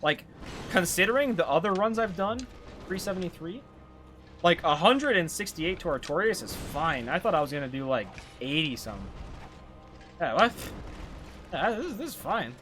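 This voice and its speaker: Animated Canadian man streaming a game. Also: on the high side, moderate pace, soft background music. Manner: rambling, slangy, thinking aloud. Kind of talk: casual live gameplay commentary.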